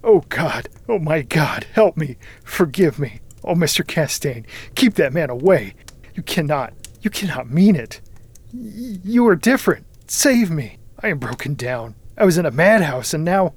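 A man's voice: a little high, big pitch swings, measured pace, breathy edge, heavily acted. A man voicing a terrified, broken madman who pleads frantically and stammers, in a horror story reading. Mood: frantic terror.

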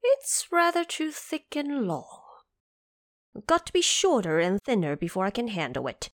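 A woman's voice: fairly high pitch, big pitch swings, unhurried, no echo, studio quality. A woman voicing a cocky, impatient male Monkey King who boasts and demands, in an audio drama. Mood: pleased, musing.

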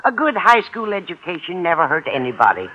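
A woman's voice: fairly low pitch, sing-song, brisk. An actress playing a chatty, naive, scatterbrained older landlady in an old-time radio sitcom. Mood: earnest, puzzled. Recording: dull, noisy old radio recording.

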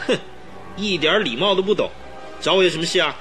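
An actor playing an arrogant, irascible and sly medical specialist in a radio drama, haughty and raspy. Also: male voice, sits high, brisk, pushed and bright, faint music behind. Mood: furious, contemptuous. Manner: haughty barking, gloating chuckles. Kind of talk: Mandarin radio drama acting.

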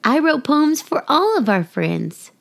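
Storybook little girl, played by a woman: high, lively, affectionate, eager singsong delivery. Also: unhurried, creaky, exaggerated voice. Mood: proud, singsong.

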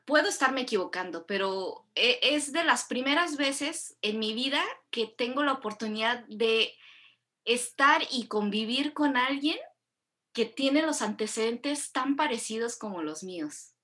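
A woman hosts a relaxed interview, her voice sitting a touch high with a bright, forceful edge. She talks in animated, winding sentences, backtracking and restarting as thoughts come to her. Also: measured pace. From Mexico. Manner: animated, rambling with self-corrections. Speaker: host. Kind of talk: casual conversational interview.

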